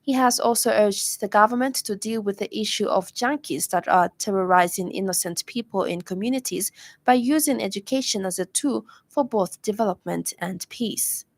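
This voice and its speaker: A woman's voice: mid-range voice, moderate pace, no echo. A woman with a Zambian accent reading a scripted radio news bulletin in a formal manner. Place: radio studio.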